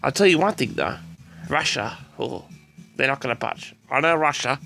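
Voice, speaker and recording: A man with a mid-pitched voice full of fry hosts his audio log. He talks casually and hesitantly, circling back to repeat himself, with faint music behind him. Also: animated, moderate pace, sharp tone. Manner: hesitant, repetitive, often addresses listeners directly. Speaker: host of a personal audio log. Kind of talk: rambling casual monologue to audience.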